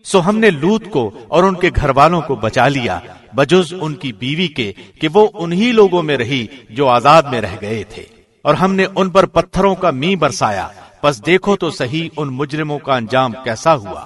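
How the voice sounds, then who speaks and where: A man reading a scripture translation from a script in formal, deliberate radio presenter diction. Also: fairly high pitch, big pitch swings.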